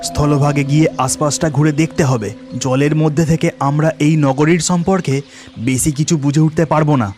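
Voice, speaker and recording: A man's voice: fairly high pitch, fairly quick, close to an everyday voice. A man voicing a young, eager merman hero in a cartoon fairy tale, earnest and curious in manner. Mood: determined. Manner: earnest, animated. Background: music.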